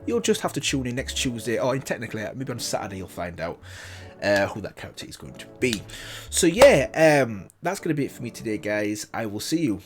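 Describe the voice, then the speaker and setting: A man's voice: mid-range voice, sing-song, brisk, over loud music. Enthusiastic man reacting casually. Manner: enthusiastic, rambling, with frequent restarts. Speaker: reaction video host.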